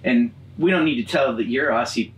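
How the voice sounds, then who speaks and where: A middle-aged man co-hosting, asking curious questions with easy laughter in a relaxed chat. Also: mid-range voice, a little fast, slight background noise. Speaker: co-host. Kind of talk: casual interview chat. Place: indoor trailer lounge, seated. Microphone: far camera. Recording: roomy and distant.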